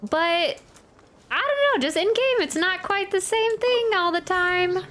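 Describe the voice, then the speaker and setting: A woman's voice: very high-pitched, expressive, unhurried, fairly bright tone. A woman giving casual gameplay commentary, chatty and rambling, often interrupting herself mid-sentence. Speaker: streamer reacting to a video game.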